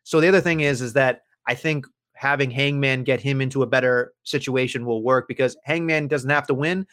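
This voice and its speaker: A man co-hosting a casual podcast, ranting in an animated way with constant swearing. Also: medium pitch, fairly quick. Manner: profane, animated, rambling rants. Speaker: podcast co-host.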